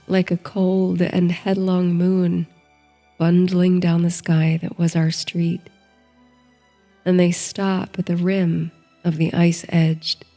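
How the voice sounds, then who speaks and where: A woman narrates a bedtime story with a mellow, relaxed tone and a slightly low voice. Her delivery is gentle and very unhurried, guiding the listener toward sleep. The pitch stays very even, with music underneath.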